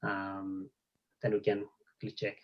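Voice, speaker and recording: A man's voice: fairly low pitch, completely flat delivery, unhurried, lo-fi sound. A man hosting an online talk, thanking the guest and opening questions, with frequent fillers. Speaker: host. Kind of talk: online event moderation.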